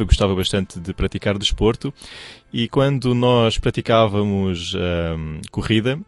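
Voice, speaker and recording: A man's voice: fairly low pitch, no room sound. A young man with a European Portuguese accent, telling his story in a radio interview, hesitant and restarting often. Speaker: radio presenter, guest.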